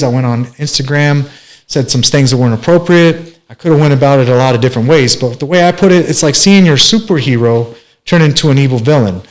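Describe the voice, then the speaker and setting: A man in his forties from Minneapolis, telling his life story as an interview guest in a rambling way, with many fillers and restarts. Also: medium pitch. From Minneapolis, USA. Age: 40s. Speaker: guest, master barber.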